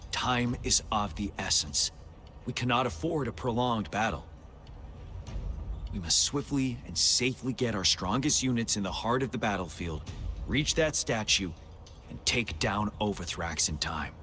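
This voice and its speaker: A man playing a composed, resolute army general in a game cutscene, giving measured, authoritative military briefings. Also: fairly low pitch, animated, pushed and bright. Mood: grave, resolute. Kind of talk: scripted video game cutscene acting.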